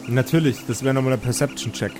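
A man narrating an improvised tabletop fantasy game as game master, measured and suspenseful. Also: mid-range voice, background noise.

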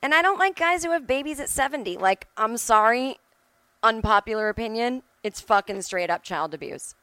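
A woman's voice: a little high, animated, moderate pace, a bit bright, clean tone, no room sound. A middle-aged woman hosting a solo podcast, talking casually and bluntly, with emphatic, sweary opinions. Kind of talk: casual opinionated monologue, ranting. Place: at home.

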